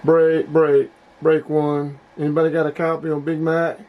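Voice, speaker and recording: A middle-aged man talks in a casual vlog style as a hobbyist showing off gear. His voice sits a little higher than most men's. He comes across as folksy and plain-spoken. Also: monotone, normal speed, lo-fi sound, very noisy. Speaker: hobbyist video host. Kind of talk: casual product review vlog.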